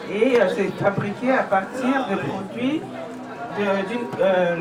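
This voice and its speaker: A woman exhibitor explaining her products at a trade fair stand, with halting starts. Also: mid-range voice. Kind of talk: product presentation. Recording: distant mic in crowded fair.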